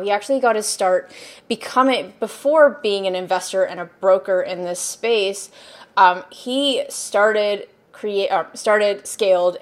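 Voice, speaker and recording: A woman hosting a business podcast, chatty and upbeat, rambling a little with frequent ums. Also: mid-range voice. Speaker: podcast host. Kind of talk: casual podcast interview.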